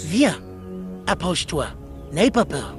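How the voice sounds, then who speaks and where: A man voicing a sly, coaxing serpent with a soft, seductive voice in an animated Bible story. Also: on the high side, slow, faint music behind. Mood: luring.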